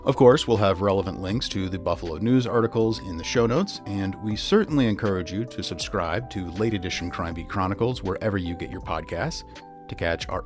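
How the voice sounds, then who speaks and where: A man reading scripted true crime podcast narration as host, in a measured, emphatic way. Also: sits low. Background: music.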